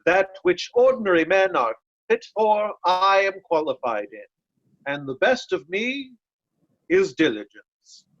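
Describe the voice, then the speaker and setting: A man's voice: high-pitched, sing-song, slow pace, a bit bright, no echo, heavily acted. A man playing a loyal, blunt nobleman disguised as a servant in readers' theatre Shakespeare, earnest and deferential, on a lo-fi recording. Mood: plain, earnest. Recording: dull, lo-fi, dry sound.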